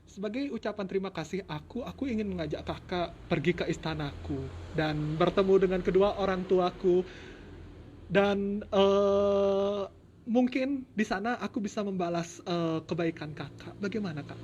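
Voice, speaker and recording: Guy doing a coy young storybook dragon princess, high voice, gushy n stammery, bit of background noise. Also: normal speed, a little mellow, lo-fi sound, natural voice. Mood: coy, inviting.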